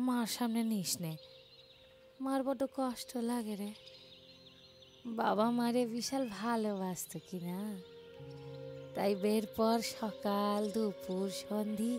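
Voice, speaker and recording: A woman's voice: a little high, steady tone, takes it slowly, pauses often, darkish tone, faint music behind. An actress playing a bold, teasing young village woman who talks in a colloquial rural West Bengal dialect in an audio story. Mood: wistful, frank. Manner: teasing, colloquial, scolding familiarity.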